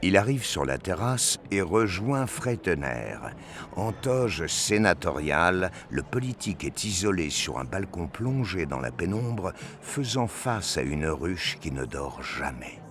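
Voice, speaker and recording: A man's voice: low-pitched, very animated, unhurried, a bit bright, slight background noise. A man reading scripted narration for a science fiction audio drama.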